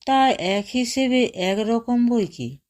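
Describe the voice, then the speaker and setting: A woman narrating a short story as an audiobook, reading in a measured way and softly voicing the dialogue. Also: on the high side, animated.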